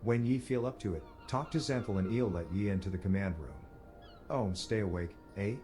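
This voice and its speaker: A man plays a folksy male sailor in a game, fairly low in pitch. His talk is colloquial and teasing, with music behind it. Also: normal speed, warm tone, natural voice. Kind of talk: scripted video game dialogue.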